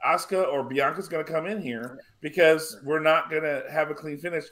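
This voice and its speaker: A man hosting a casual wrestling panel discussion, talking in a halting, conversational way and often repeating himself. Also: on the high side, fairly even intonation, a bit bright. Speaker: wrestling podcast host.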